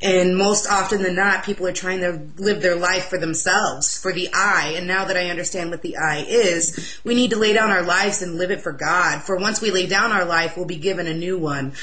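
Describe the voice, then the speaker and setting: A young woman with a loud voice, sharing an earnest, excitable personal religious testimony in an interview. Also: mid-range voice, a little hiss or hum, rough recording.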